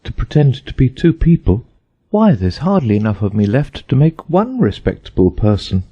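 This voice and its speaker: A man's voice: mid-range voice, very animated, normal speed, husky, muffled recording, voice pushed up. A man voicing a curious, prim little storybook girl who talks earnestly to herself. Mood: forlorn. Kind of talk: storybook audiobook character voice.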